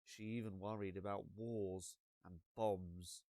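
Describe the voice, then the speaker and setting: A man reading a children's storybook as an expressive narrator, with deliberate dramatic pauses and drawn-out words. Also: low-pitched, no echo, clean studio sound.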